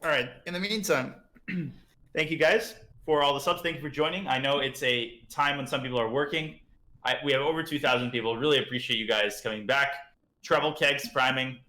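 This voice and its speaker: A man's voice: medium pitch, a little fast, sharp tone, reverberant, low-quality recording. A man with a slightly parched throat, chatting casually as he teaches chess on a live stream and thinks aloud about moves.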